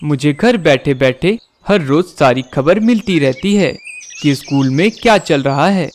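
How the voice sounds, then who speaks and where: A man's voice: a little high, expressive, a little slow. A man voicing a domineering, accusing kite bird who owns a school in a Hindi cartoon. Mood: stern, accusing. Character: angry, bossy male kite bird, school owner.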